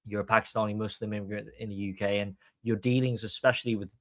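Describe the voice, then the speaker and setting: A man's voice: lowish voice, little pitch movement, fast talker, dry recording. A man from Hong Kong hosting a podcast, giving opinionated commentary in an emphatic, long-winded way. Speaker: podcast host.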